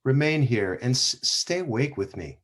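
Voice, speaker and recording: A man reading the part of Jesus in a church passion play, solemn and measured. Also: mid-range voice, lively intonation, natural voice. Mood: grave. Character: Jesus, grave calm male teacher. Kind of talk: dramatic scripture reading. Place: church sanctuary.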